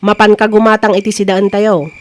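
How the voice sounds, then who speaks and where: A woman with an Ilocano accent playing a brisk small-eatery woman in a comedy radio drama. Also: mid-range voice, flat delivery, natural voice. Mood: brisk, practical.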